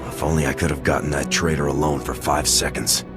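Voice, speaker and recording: An actor playing a tough special-forces major, blunt and terse, in a video game. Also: male voice, rumbling low, level tone, fairly quick. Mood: resolute. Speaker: squad commander. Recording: music behind the voice.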